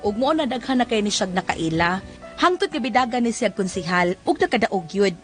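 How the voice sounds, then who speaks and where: A woman's voice: medium pitch, animated, brisk, faint music behind, close to an everyday voice. A woman playing a fed-up wife narrating in a Cebuano radio drama. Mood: weary, confiding. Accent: Cebuano Filipino. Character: fed-up wife telling of her talkative councilor husband.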